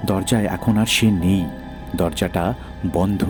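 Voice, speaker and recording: A man's voice: low voice, fairly even intonation, slow, music in the background. A man narrating a first-person horror audio story in a dramatic storytelling style.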